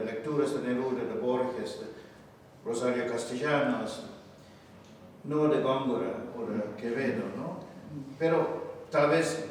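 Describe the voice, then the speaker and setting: Slow, halting man in an echoey hall. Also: medium pitch, a little mellow. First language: not Spanish. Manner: slow and halting, with many restarts. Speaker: invited poet. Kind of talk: unscripted answers in a public talk. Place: large hall with an audience. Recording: echoey hall from far off, faint hiss.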